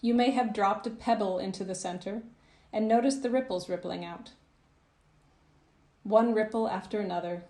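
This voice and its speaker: A woman narrating a guided visualisation as a coach, in a soothing, gentle, measured manner with phrases that trail off softly. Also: medium pitch, reverberant. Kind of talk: guided meditation, scripted narration.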